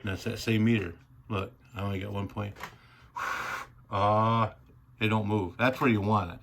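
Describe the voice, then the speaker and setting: A man with a gravelly, fairly low voice gives a casual hands-on explanation. He talks in a folksy, rambling, colloquial way, with faint noise behind him. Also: steady tone, normal speed, a bit bright. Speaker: radio hobbyist demonstrating equipment.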